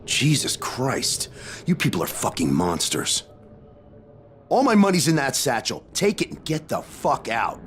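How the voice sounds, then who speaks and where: A bitter, accusing settler in a video game. His mid-pitched voice swings dramatically, with faint noise behind. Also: huge pitch swings, unhurried. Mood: disgusted. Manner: accusing, disgusted. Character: bitter, defiant, extorted male settler. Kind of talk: video game cutscene acting.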